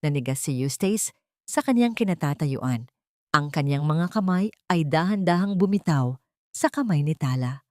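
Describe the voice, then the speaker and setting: A woman with a slightly low voice narrates an audio story. Her delivery is scripted and dramatic, and the recording is close and dry. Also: expressive, moderate pace, darkish tone, no room sound.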